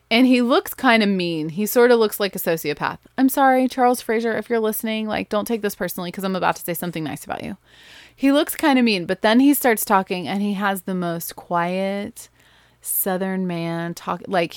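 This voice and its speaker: Casting: woman, lowish voice, rambling casual storyteller, dry close sound. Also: no room sound. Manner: chatty, rambling, with fillers and laughs. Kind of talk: casual recap of an author event.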